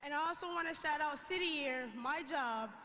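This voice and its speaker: A woman guest making an excited announcement on stage at a large event venue. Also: high-pitched, normal speed. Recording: reverberant hall with faint noise.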